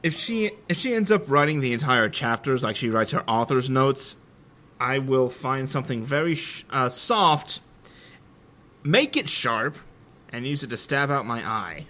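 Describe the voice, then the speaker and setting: A man's voice: mid-range voice, lively intonation, a little slow, pushed and bright. Sarcastic young man reading fan fiction. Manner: sarcastic, giggling asides. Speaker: reader.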